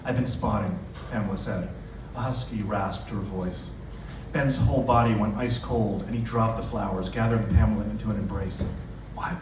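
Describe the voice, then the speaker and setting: A man's voice: on the low side, fairly even intonation, fairly quick, hardly any pauses. A man, a novelist, speaking to introduce and read his fiction at a live indoor literary event. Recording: echoey room from a distance, noisy.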